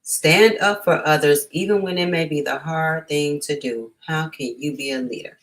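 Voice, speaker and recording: Low-voiced woman hosting a family storytime. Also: moderate pace. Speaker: storytime host. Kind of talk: reading aloud and chatting to families.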